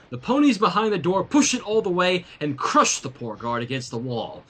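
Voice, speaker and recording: A man with a high, slightly husky voice reads a story aloud. His pitch moves around a lot as he narrates and drops in casual remarks. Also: fairly bright tone. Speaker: fan fiction reader and livestream host.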